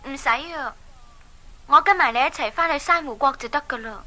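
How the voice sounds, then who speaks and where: An actress with a Hong Kong Cantonese accent playing a plucky, caring young princess in a children's radio drama. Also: female voice, sits high, normal speed. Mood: sincere. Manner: expressive, urging, exclamatory.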